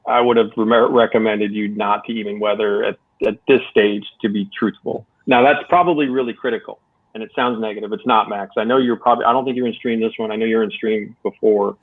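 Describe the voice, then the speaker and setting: A man's voice: fairly low pitch, steady tone, a little fast. A man talking casually as a contest judge, explaining technique in a conversational, encouraging way. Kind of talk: casual spoken critique and advice. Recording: thin phone-like voice channel.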